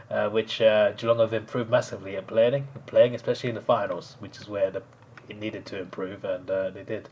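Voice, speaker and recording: Hoarse, gravelly man streaming. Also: medium pitch. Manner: chatty, laughs often, rambling with restarts. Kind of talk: casual live-stream commentary.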